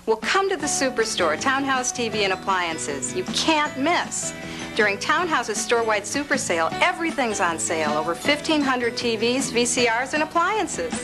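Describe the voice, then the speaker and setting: A woman's voice: on the high side, big pitch swings, normal speed. A woman announcer delivering a scripted retail commercial. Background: music.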